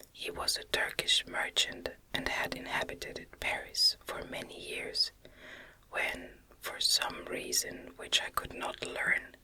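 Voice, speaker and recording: A woman reading a gothic novel aloud as an ASMR narrator, in a gentle, measured whisper. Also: rough recording. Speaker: audiobook reader.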